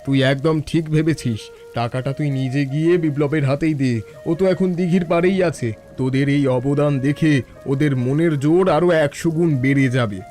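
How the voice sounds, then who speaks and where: Guy doing kind village teacher in a cartoon, a bit high, fatherly and encouraging. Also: fairly quick, dark tone, faint music behind, close to an everyday voice. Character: kindly village schoolteacher, proud and encouraging.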